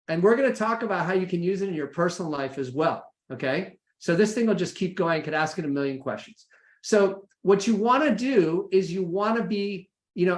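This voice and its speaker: An American man presenting an informal, practical session in a conversational way, often checking in with his listeners. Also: sits high. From United States. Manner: conversational, checks in with "right?" and "okay?". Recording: echoey room sound.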